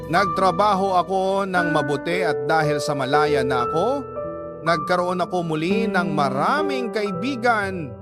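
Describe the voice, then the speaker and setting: A man's voice: high voice, unhurried. A man with a Filipino accent narrating a scripted first-person love story in a reflective, dramatic manner. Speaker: storyteller, radio host. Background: background music.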